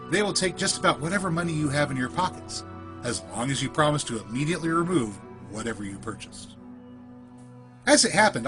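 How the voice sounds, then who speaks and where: Male narrator, medium pitch, for scripted creepy fiction; deliberate, measured storytelling delivery. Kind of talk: scripted first-person spooky story narration.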